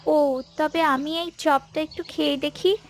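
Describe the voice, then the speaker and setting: A high, girlish cartoon voice from a woman acting a child, with noise in the recording. She is a naive young guest who talks politely and artlessly. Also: steady tone, measured pace. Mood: delighted. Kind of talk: cartoon dialogue.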